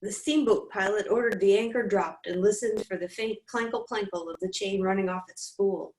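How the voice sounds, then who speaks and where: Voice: medium pitch, moderate pace. Story reader in a roomy echo. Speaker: workshop participant. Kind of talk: reading a short story aloud. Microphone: video call. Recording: roomy echoey call audio.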